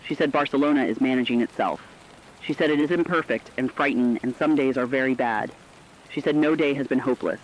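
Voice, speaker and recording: A man playing a solemn, compassionate radio broadcaster, delivering a scripted monologue earnestly and deliberately. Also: mid-range voice, a little hiss or hum, close to an everyday voice, low-quality recording. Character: solemn, compassionate male broadcaster chronicling a collapsing world.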